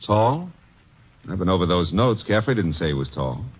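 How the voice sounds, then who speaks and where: A man's voice: low voice, sing-song, normal speed, slightly rough, full character voice. An actor playing a brash, wisecracking young male assistant detective in an old radio drama. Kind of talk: 1940s radio drama acting. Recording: muffled old radio recording.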